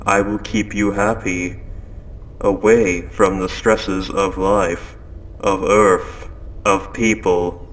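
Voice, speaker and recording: A man voicing a calm, controlling spaceship AI gone quietly insane, speaking with measured, polite, coldly insistent phrasing in a scripted audio drama. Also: fairly low pitch, rough recording. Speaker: audio roleplay performer. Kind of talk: scripted second-person audio drama monologue.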